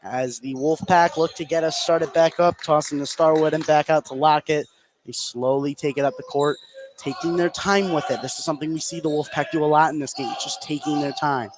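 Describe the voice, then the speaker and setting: A man giving live play-by-play radio commentary on a basketball game, getting excitable on big plays. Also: a little high, fairly quick, a little hiss or hum, low-quality recording. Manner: excitable on big plays, calling action as it happens. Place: indoor basketball gym, courtside.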